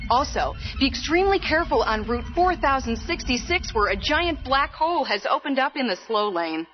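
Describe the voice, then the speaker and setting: An actress playing a chipper space traffic reporter giving a mock TV traffic report. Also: female voice, sits high, expressive, normal speed. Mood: brisk, chipper. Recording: slightly dull, music behind.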